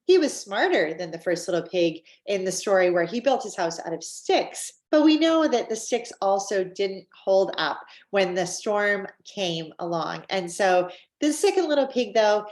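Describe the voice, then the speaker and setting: A woman's voice: medium pitch, big pitch swings, unhurried, a little mellow. Upbeat Canadian woman in an echoey room. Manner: upbeat, chatty, with frequent fillers. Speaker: wellness presenter. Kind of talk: scripted-feeling sales presentation. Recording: roomy echo.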